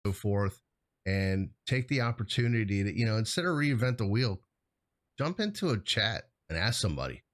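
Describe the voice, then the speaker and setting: A man's voice: fairly low pitch, big pitch swings, moderate pace. A middle-aged man hosting a show in casual chat, rambling a little with a jokey, hesitant delivery. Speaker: show host.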